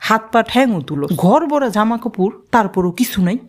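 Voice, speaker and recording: A woman's voice: a little high, expressive, a little fast, natural voice. A woman voicing a hot-tempered, sarcastic mother in a cartoon, nagging her daughter. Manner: nagging, sarcastic, shouting rebukes. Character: hot-tempered scolding Bangladeshi mother, middle-aged. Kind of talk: cartoon voice acting.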